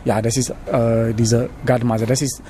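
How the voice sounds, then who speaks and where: A young man from Senegal with a Senegalese accent, answering casually in halting non-native German in a noisy location interview. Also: medium pitch, level tone, dark tone. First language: not German, learned it as an adult. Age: young adult. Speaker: interviewee, trainee cook.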